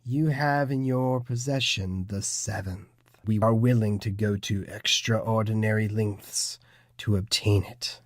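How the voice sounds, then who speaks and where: A man playing a cold, formal villainous interrogator, slow and deliberate in an audiobook drama. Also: fairly low pitch, steady tone, dry recording, clean studio sound. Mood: menacing. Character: menacing male Illuminati interrogator, formal and cold. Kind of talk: full-cast audiobook acting.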